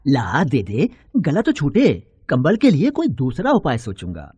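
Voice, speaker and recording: A man's voice: on the high side, wildly swooping pitch, measured pace, dark tone, breathy edge, no echo, exaggerated voice. A man playing a poor, weary peasant farmer in an audio storybook, grumbling in a plain rustic, resigned way. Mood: pleading, dejected. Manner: plain rustic grumbling, talks fondly to his dog. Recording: noisy, with crickets and animal sounds.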